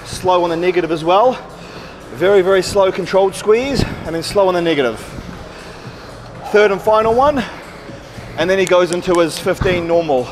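A man's voice: high-pitched, moderate pace, background noise. A man in his thirties hosting a gym vlog, explaining a workout and counting reps with energy. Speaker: fitness vlogger and host. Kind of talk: casual gym vlog commentary. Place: large indoor gym. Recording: loud gym music behind.

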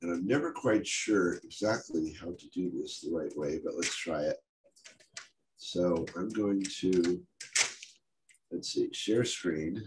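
An older American man with a head cold, talking in a halting, casual way on a video call from his home study, full of restarts and wry asides. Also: deep voice, background noise. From United States. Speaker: guest speaker. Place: home office or study, indoors. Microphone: video call webcam.

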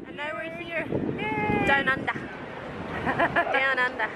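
A woman's voice: high voice, lively intonation, takes it slowly, over a noisy crowd. A young woman vlogging her sightseeing day outdoors, camera held at arm's length, talking in a chatty, rambling way full of "like". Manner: chatty, rambling, heavy use of "like". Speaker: travel vlogger. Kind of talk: casual travel vlog chat. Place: outdoors by a sunny harbour. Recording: loud wind noise outdoors.

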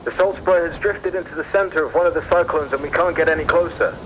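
A man acts out an urgent television reporter out in a storm at sea. He yells in a strained, fairly high voice through a radio-like broadcast filter, over faint rumble. It is a dramatized news bulletin from an audio drama. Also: fast talker, rough recording. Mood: urgent, alarmed. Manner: yelling, strained.

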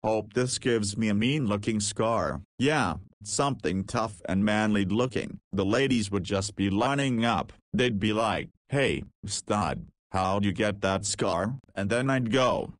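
A man's voice: on the low side, unhurried, few pauses, no echo, close to an everyday voice. A man playing a cocky, crude nineteen-year-old in a visual novel, rambling wryly in slangy talk.

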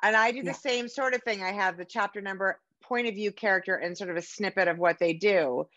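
A woman's voice: medium pitch, a little fast, a bit bright, dry recording. A middle-aged American woman chatting casually as an interview host on a video call. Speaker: podcast host and author. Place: indoor room at home, video call. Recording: slightly dull video-call audio.

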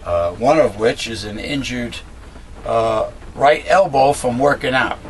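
A middle-aged man with a raspy voice, talking casually to a webcam from a small cluttered room at home, rambling with many fillers and restarts as he airs blunt opinions. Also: medium pitch, a little slow, slight background noise, poor audio. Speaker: host of a political commentary channel. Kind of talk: casual monologue, talking to camera.

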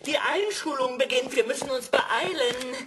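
A woman's voice: high-pitched, normal speed, fairly bright tone, a little hiss or hum. A woman playing a fussy, pompous little dwarf doctor in an audio story, scornful and impatient. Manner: pompous, muttering, exclaiming.

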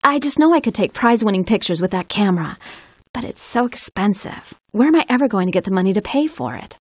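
An actress voicing a gushing, wistful photographer in a cartoon game. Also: female voice, mid-range voice, animated, fast talker, breathy edge, dry recording, studio quality. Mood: longing. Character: gushing, wistful woman photographer coveting new camera.

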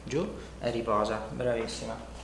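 A man's voice: mid-range voice, fairly even intonation, moderate pace. A middle-aged man working as a chiropractor, explaining and instructing a patient in an informal, reassuring way with frequent check-in questions. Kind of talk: explaining and instructing a patient during a treatment session. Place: indoor treatment room in a home-like studio. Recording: echoey room, some background noise.

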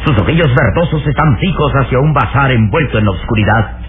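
A man's voice: mid-range voice, expressive, brisk, slight background noise. A man narrating a radio drama serial in a dramatic, scripted storytelling style. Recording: muffled vintage radio, music behind.

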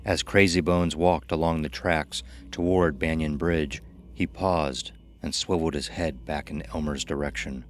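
A man narrating a scripted horror story in a deliberate, dramatic, measured style. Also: very deep voice, steady tone, slight background noise. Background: music under the voice.

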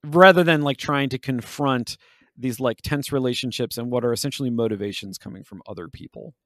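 A man's voice: medium pitch, brisk, a bit bright, dry recording, clean studio sound. A man co-hosting a podcast, talking through ideas in a casual but academic, reflective way. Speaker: podcast host. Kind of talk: casual analytical discussion.

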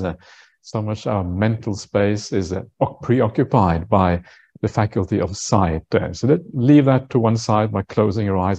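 A man in his fifties from Norway, a meditation teacher, gently guiding a meditation in an unhurried, halting way with frequent pauses and uhs. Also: lowish voice, highs cut off. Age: 50s. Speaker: Buddhist monk, meditation teacher. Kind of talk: guided meditation instruction.

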